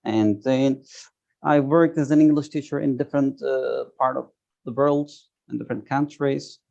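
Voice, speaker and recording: A Turkish-accented man in his thirties speaks in a gravelly, mellow voice over a dull, lo-fi video call. He talks haltingly, pausing often to search for the right English words. He sounds like an interview guest on a remote call. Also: mid-range voice, fairly even intonation. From Turkey. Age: 30s. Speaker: guest, software tester.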